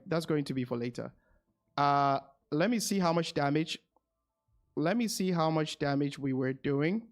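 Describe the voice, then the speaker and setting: Higher-pitched man thinking aloud on stream. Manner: repeats phrases while thinking aloud. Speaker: streamer and game guide host. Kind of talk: casual gameplay commentary with asides to viewers.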